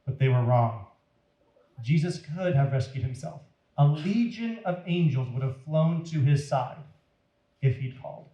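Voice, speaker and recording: A man reading a story aloud to children in a deliberate, dramatic, expressive way. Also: fairly high pitch, highs cut off. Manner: deliberate, dramatic, addressing young listeners. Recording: roomy echo.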